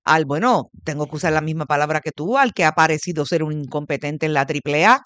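A man's voice: on the high side, fairly quick, a bit bright, dry recording. A man hosting an interview, who often cuts in to agree and to ask questions. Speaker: host.

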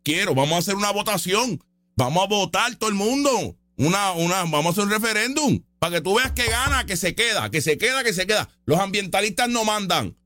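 Brief: Puerto Rican male commentator, high, bright voice, excitable and mocking, dry close mic. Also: a little fast, no echo. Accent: Puerto Rican Spanish. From Puerto Rico. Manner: excitable, mocking, repeats himself. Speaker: online commentator reacting to a trial stream. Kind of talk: casual live commentary.

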